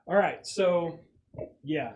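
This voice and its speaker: Halting male game master, noisy room. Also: fairly high pitch. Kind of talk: improvised tabletop game narration and casual chat.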